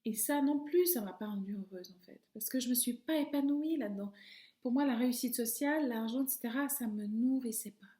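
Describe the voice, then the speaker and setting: A middle-aged woman talking calmly and reflectively to camera, her phrases often trailing off softly. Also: on the high side, a little fast. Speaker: video host. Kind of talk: personal reflective monologue. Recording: echoey room.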